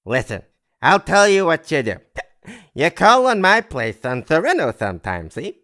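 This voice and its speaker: An actor playing a boozy, chummy, boastful socialite in an old-movie style audio drama, rambling and slangy. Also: male voice, on the high side, huge pitch swings, normal speed, fairly bright tone, full character voice.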